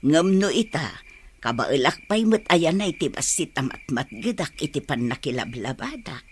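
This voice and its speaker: A woman's voice: sits low, very animated, normal speed, slight background noise. A woman acting a loving, caring mother of a grown son in a radio drama. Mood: tender concern.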